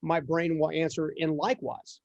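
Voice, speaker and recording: Guy hosting a podcast interview, slightly high voice, dry close sound, earnest and wordy. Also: flat delivery, moderate pace, dry recording, dull audio. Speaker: interview host.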